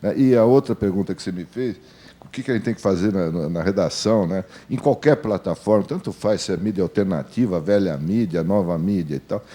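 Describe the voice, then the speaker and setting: A man's voice: low voice, fairly quick, mellow. An older Brazilian man, a veteran journalist, talking informally on a panel in a blunt, colloquial way with repeated phrases for emphasis.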